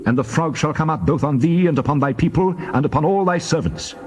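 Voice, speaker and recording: A man playing God as a solemn, commanding deity in a dramatized scripture reading, measured and declamatory. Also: a little high, animated, a little hiss or hum, close to an everyday voice. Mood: stern warning.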